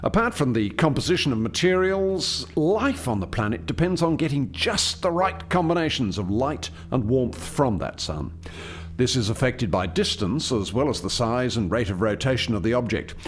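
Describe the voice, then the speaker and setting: Radio play lead: male actor as proud alien project scientist, formal and articulate, very lively pitch movement, mid-range voice. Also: moderate pace, husky. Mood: explanatory. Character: male alien chief scientist, eager, proud, explaining his experiment. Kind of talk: radio play dialogue, expository.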